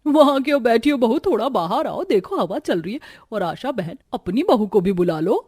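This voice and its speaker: A woman's voice: fairly high pitch, very animated, moderate pace, a little mellow, husky, no room sound, clean studio sound. A woman voicing a nosy, gossiping middle-aged neighbour in a cartoon story, prying and teasing. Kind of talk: cartoon story character acting.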